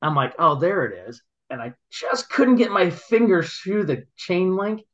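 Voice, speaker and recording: A man telling stories in casual chat, halting and rambling, laughing often as he goes. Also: sits high, sing-song, fairly bright tone, muffled recording. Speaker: guest, local business owner.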